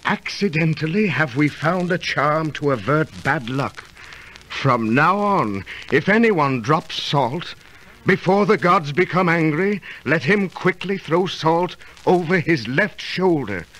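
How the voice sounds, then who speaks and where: A man's voice: fairly high pitch, expressive, slow pace, fairly bright tone. An actor playing a grave, superstitious ancient tribesman with formal, archaic phrasing in an old radio drama. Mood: solemn, relieved. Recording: faintly noisy, clicky old radio recording.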